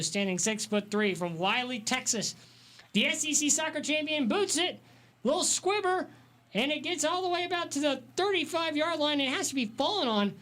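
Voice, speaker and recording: A man's voice: very high voice, fairly bright tone. A man working as a sports reporter and play-by-play announcer, with an emphatic broadcast delivery.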